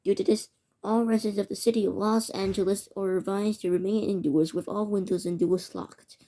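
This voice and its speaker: Halting woman reading an emergency alert. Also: medium pitch, steady tone, mellow, poor audio. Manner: halting, stumbling over words. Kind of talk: amateur mock emergency broadcast narration.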